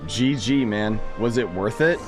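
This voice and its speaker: A man's voice: on the low side, a little slow, music in the background. A man giving casual live-stream game commentary in a slangy, sarcastic, exasperated way.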